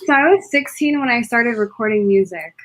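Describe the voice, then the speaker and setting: A woman's voice: a little high, expressive, a little fast, fairly bright tone. A woman in her twenties from Connecticut, a music artist chatting casually as an interview guest. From Connecticut, United States.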